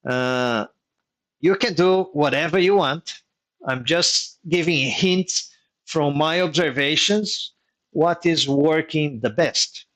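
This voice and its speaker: A man whose first language is Portuguese gives advice to engineers in a live online session. His voice sits a little higher than most men's. He talks in a methodical, teaching way and comes back to his key points so they stick. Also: takes it slowly, fairly bright tone. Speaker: engineering migration mentor hosting a workshop.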